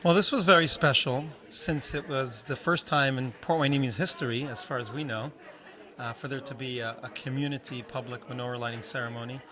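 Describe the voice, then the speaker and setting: A man, a rabbi, explains fluently and steadily at a community celebration. His pitch is ordinary for a man. A busy crowd can be heard all around him outdoors. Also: fairly even intonation, fairly quick. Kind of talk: event interview. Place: outdoor community holiday event. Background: crowd chatter.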